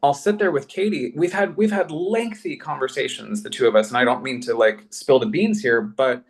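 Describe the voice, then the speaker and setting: A man with a mid-pitched voice talks in a casual, reflective panel style. He is effusive and self-deprecating, pausing and repeating phrases as he works through his thoughts. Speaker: panel guest, writer.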